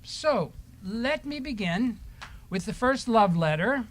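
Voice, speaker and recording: Slow-paced man talking to children. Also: very high-pitched, animated, a little hiss or hum. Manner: asks simple questions and gently repeats answers. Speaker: leader of a children's story time in church. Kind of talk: talking to children and reading short letters aloud. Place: church service.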